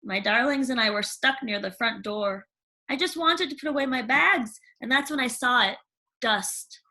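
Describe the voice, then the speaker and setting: A woman reading a children's story aloud in an expressive, theatrical way with dramatic pauses. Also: on the high side, low-quality recording. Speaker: storybook reader.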